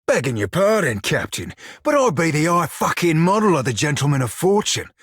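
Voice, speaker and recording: Roguish shiphunter, game character, rough husky voice, salty slang, cocky, dry studio sound. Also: male voice, fairly high pitch, sing-song, fairly quick, fairly bright tone, no room sound, full character voice. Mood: cocky, playful. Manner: salty archaic sailor slang, cocky and teasing. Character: swaggering, foul-mouthed blue-furred male pirate shiphunter, roguish. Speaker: video game companion character. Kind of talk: video game character dialogue and barks.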